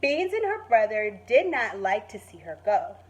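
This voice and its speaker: A woman teacher reading a children's story expressively, stretching words for emphasis and pausing to put questions to the listeners. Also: mid-range voice, sing-song. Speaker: primary school teacher reading to pupils. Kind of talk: expressive children's story read-aloud with asides.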